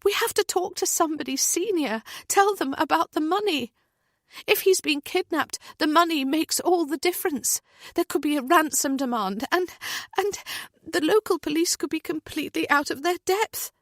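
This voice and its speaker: A woman's voice: very high-pitched, moderate pace, no room sound, clean studio sound, pitched above the performer's own voice. A woman voicing an anxious young woman, speaking in frantic, urgent bursts. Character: anxious young woman, panicking over missing partner.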